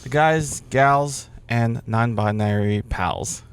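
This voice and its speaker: A man's voice: mid-range voice, slow pace, clear, resonant tone. A man in his thirties co-hosting a casual podcast, playful and laughing, reading listener posts aloud. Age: 30s. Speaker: podcast co-host. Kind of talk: casual chat.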